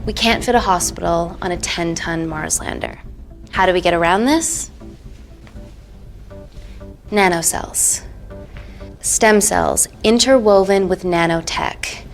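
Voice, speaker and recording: A composed woman doctor with a lowish voice, giving a polished corporate pitch in an interactive film. She is formal, measured and diplomatic, with faint music underneath. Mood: earnest. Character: poised woman doctor pitching research to investors.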